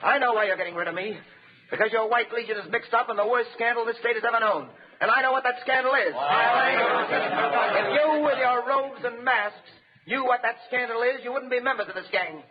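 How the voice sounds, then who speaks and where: An actor playing a defiant, righteous prosecutor, impassioned and declamatory in 1930s radio style. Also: male voice, very high-pitched, moderate pace. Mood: defiant, indignant. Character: defiant, righteous male prosecutor condemned by a gang. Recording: dull, noisy 1930s radio recording.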